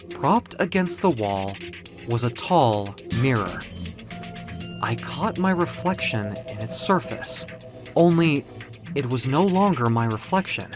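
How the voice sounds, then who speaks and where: A man narrating first-person audio fiction in a theatrical, expressive, confiding way. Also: medium pitch. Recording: music under the voice. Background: music.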